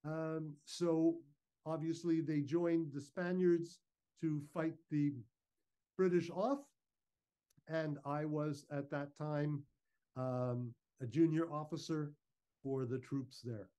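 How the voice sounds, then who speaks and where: A man giving an informal, measured, explanatory slide talk over a video call. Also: a little high, steady tone, mellow, clean tone. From Canada. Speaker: guest speaker, historical reenactor. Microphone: video call microphone.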